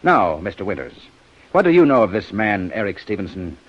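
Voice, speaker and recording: Muffled old radio sound carries a low-voiced male police inspector from a 1950s detective serial. He is shrewd and sardonic, probing drily with a very mobile intonation. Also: sing-song, fairly quick. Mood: sardonic, accusing. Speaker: radio actor. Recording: muffled old-time radio broadcast recording.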